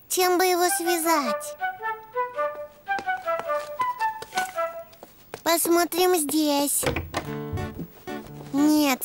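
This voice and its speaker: Eager, high-pitched little boy donkey. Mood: busy, thoughtful. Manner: simple, exclamatory, childlike phrasing. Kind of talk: preschool cartoon dubbing. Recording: music bed behind the voice.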